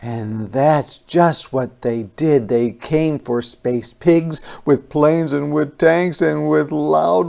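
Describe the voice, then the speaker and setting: A storybook reader with a very slow, deliberate delivery. The voice is creaky and mellow, giving a rhyming children's tale theatrical phrasing. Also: mid-range voice. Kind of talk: dramatic rhyming children's read-aloud.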